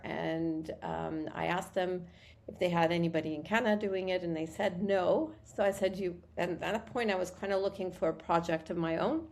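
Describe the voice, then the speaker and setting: A woman's voice: on the low side, darkish tone. A woman from Morocco, a guest founder of a hair product company, telling her story in a chatty interview and restarting sentences often. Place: Montreal.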